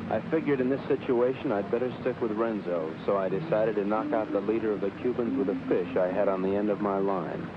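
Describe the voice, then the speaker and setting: Man acting as deadpan secret agent, low voice, grandiose, self-deluded, 1960s B-movie, noisy old film sound. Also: brisk, hardly any pauses, highs cut off, natural voice. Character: earnest, self-deluded male secret agent narrating his exploits. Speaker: film actor, narrator. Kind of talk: deadpan first-person voice-over and dialogue in a 1960s B-movie comedy.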